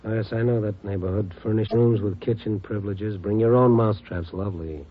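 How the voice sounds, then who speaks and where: A man's voice: on the low side, fairly quick, slightly rough. An American man playing a world-weary, wry newspaper reporter in a clipped 1950s radio drama style. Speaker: newspaper reporter lead and narrator. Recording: tinny old radio recording with music.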